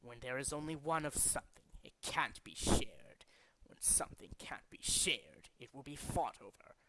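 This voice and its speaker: A man voicing a grandiose, zealous villain leader in a video game, speaking in a deliberate, pompous, theatrical way. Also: medium pitch, animated, sharp tone, whispered, low-quality recording. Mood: grandiose, grim. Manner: deliberate, dramatic, pompous declaiming. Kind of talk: theatrical video game character demo reel.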